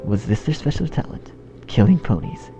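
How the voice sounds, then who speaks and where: A man's voice: medium pitch, big pitch swings, moderate pace. A man reading a horror story as narrator in a scripted style. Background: background music.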